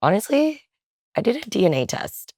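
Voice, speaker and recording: A woman's voice: medium pitch, moderate pace, slightly rough, no room sound, clean studio sound. A woman in her twenties from the Philippines, a guest chatting casually in an interview with lots of fillers and easy laughter. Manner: relaxed, chatty, laughs often, many fillers.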